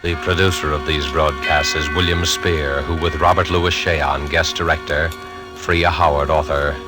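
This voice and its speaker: Extremely deep-voiced solemn male radio narrator. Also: fairly even intonation. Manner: solemn, theatrical, measured. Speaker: narrator of a radio suspense series. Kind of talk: 1940s radio announcing, ominous formal narration. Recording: old radio recording, prominent music.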